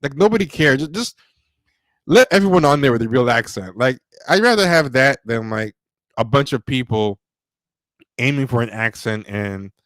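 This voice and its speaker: Male host, mid pitch, casual rambling movie takes, opinionated, dry close-mic sound. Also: expressive, normal speed, a bit bright, no room sound. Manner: rambling, opinionated, many fillers and restarts; reads viewer donations aloud. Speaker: podcast host. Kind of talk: casual livestream movie review.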